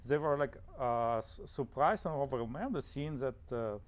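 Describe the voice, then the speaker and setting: A man's voice: medium pitch, a little slow. Hesitant man with a Russian accent. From Ukraine. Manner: hesitant, many fillers and restarts. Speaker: guest. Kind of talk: conversational interview.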